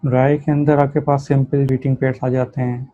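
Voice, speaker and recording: A man's voice: medium pitch, little pitch movement, fairly quick, warm tone, no echo, lo-fi sound. A man lecturing in an explanatory, didactic way, mixing technical terms with plain explanation. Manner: didactic, reads terms out then explains them. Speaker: physiotherapy lecturer.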